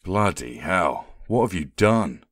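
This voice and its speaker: A man's voice: low voice, sing-song, takes it slowly, no echo, clean studio sound, heavily acted. A man playing a stern, bewildered office boss in an audio drama. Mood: shocked.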